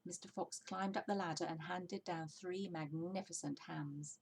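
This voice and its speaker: A woman's voice: fairly low pitch, fairly even intonation, moderate pace, creaky, slightly rough. A woman narrating a children's storybook expressively, as a story reader.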